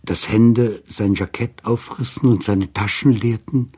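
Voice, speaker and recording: A man's voice: on the low side, steady tone, measured pace, dark tone, slightly rough. A man reading a literary story as scripted narration. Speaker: reader of literary prose.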